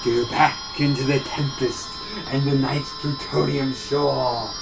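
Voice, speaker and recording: A man in his thirties recites gothic horror poetry with melodramatic intensity. His delivery is slow and deliberate, at a middling pitch, captured on a camera in a living room. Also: level tone, creaky, loud music behind the voice, poor audio. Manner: melodramatic, intense, swinging from hushed to shouted. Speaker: performer reciting a poem. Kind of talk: theatrical dramatic recitation of gothic horror verse. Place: carpeted basement living room with low tiled ceiling.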